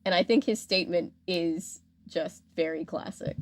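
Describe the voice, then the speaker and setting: A woman hosting a podcast, telling a story in a casual, animated, slangy way and acting out quotes. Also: lowish voice, moderate pace, slight background noise. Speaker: podcast host.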